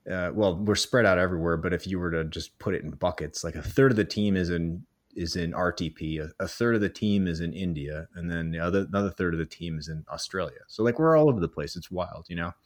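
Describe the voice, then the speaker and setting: A young man interviewing a colleague in a casual, rambling way, full of fillers and restarts. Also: low-pitched.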